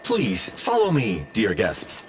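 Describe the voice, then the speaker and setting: A man's voice: on the low side, wildly swooping pitch, slow pace, noisy background, exaggerated voice. A man voicing a polite mechanical tour guide in a video game, giving formal, rehearsed announcements. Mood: polite welcome. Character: mechanical factory tour guide, politely welcoming taped automaton.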